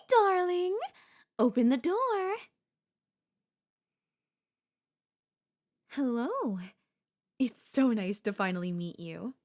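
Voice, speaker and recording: A woman's voice: high voice, voice pushed up, full character voice. A woman playing an obsessive, doting yandere stalker in an ASMR audio roleplay, speaking in a coaxing, theatrical way. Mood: sweet, eager. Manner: theatrical, coaxing, addresses listener as darling. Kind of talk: ASMR audio roleplay, girlfriend-style monologue.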